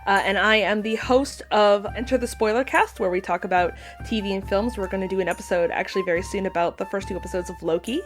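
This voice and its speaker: A woman's voice: mid-range voice, fast, hardly any pauses, soft background music. Talkative woman in casual podcast chat. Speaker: podcast guest, pop culture commentator.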